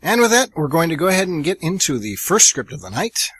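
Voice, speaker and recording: A young man hosting a college radio theater show, chatty and energetic with plenty of fillers. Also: fairly high pitch, quick pace, slightly rough, no room sound. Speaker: host.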